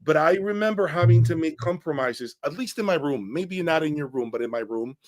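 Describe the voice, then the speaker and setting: A man's voice: a little high, animated, fairly quick. A man reviewing audio gear in an informal, opinionated breakdown, speaking bluntly and emphatically and often checking in with the listener.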